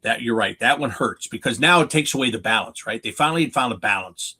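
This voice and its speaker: A man's voice: medium pitch, animated, fast, sharp tone, husky. A North American man giving unscripted sports analysis as a guest, emphatic, often posing questions and answering them himself. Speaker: hockey play-by-play announcer as guest analyst.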